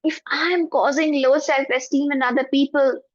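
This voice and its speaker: A woman leading a guided forgiveness meditation in a soft, prayerful voice with slow repetitions. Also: high voice, fairly even intonation, no echo. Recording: dull lo-fi, dry.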